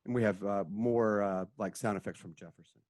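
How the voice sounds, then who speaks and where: A man's voice: lowish voice, fairly even intonation, brisk, darkish tone, no echo, clean studio sound. A man narrating a radio drama, reading out stage directions and sound cues.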